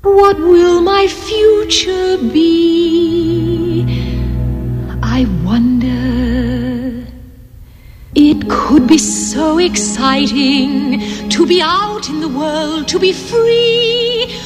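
A woman's voice: very high voice, very slow, over music, full character voice. A woman playing a nervous but hopeful young woman, singing a musical number from a film soundtrack. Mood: wistful hopeful. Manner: singing, wistful. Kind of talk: musical song. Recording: film soundtrack with orchestra and reverb.

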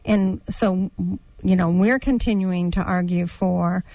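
A woman co-hosting a radio talk show, speaking deliberately with pauses and fillers. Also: on the low side, steady tone, mellow, dry recording. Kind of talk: casual radio chat.

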